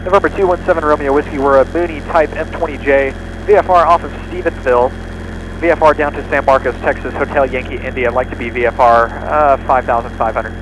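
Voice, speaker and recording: A male pilot making routine radio calls in rapid, standard phraseology. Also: mid-range voice, steady tone. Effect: aircraft radio. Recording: radio audio with engine noise.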